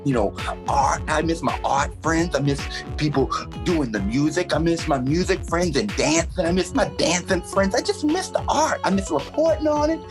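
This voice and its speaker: A woman's voice: deep voice, animated. A woman as an emotive, lonely radio reporter over music. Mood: plaintive. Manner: rambling, emotive, halting. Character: lonely, overwrought, self-important local radio reporter. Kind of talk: comic public service announcement monologue.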